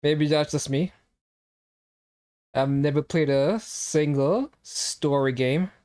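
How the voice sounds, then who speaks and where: A young male streamer with a somewhat high voice, chatting loosely and reacting to his chat. He teases viewers in a joking, offhand way while he plays. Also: takes it slowly, darkish tone. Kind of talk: casual live-stream chat.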